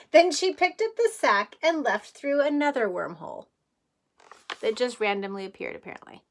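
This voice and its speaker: A woman's voice: sits high, huge pitch swings, a little slow, long pauses, slight background noise. A woman teacher reading a picture book aloud to young children in a playful way, with chatty asides and questions.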